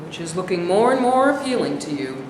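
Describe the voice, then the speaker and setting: A woman's voice: big pitch swings, a little fast. A woman as a mocking alter ego, very echoey. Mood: cold mockery. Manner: blunt, taunting. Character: mocking, needling alter ego of an old man. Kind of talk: staged play reading. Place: indoor live staged reading. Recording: very echoey hall, noisy rumble.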